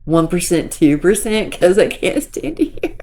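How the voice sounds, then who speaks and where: A woman with a squeaky voice giving casual, rambling commentary to her viewers, repeating herself and filling pauses with ums. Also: sing-song, a little hiss or hum. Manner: rambling, repeats herself, soft filler-laden talk. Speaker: commentary creator.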